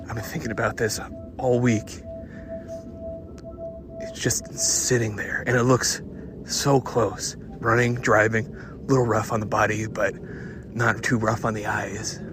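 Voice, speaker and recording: A man, a hot rod hobbyist, musing to himself in a personal audio diary with halting, wistful, repetitive phrasing. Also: on the low side, level tone, music in the background.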